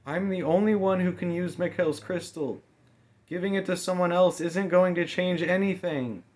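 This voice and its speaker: A man's voice: sits high, steady tone, normal speed, a little mellow, pitched above the performer's own voice, lightly acted. A man voicing a stubborn young girl heroine in an audiobook, pleading with breathless, desperate defiance. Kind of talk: audiobook character dialogue.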